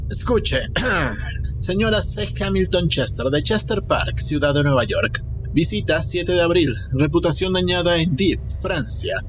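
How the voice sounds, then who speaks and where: A sinister, boastful eccentric played by a male narrator speaks in a fairly high voice with deliberate, smug menace. It is an audiobook character, with music clearly playing underneath. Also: lively intonation. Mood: businesslike. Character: Mr. Wilde, sinister, boastful, scheming eccentric man. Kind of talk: dramatic audiobook character reading. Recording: music behind the voice.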